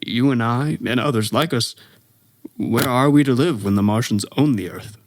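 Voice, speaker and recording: A man's voice: mid-range voice, normal speed, natural voice. An actor playing a weary male survivor of an alien invasion in a radio drama, earnest and hesitant as he questions. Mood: anxious, questioning.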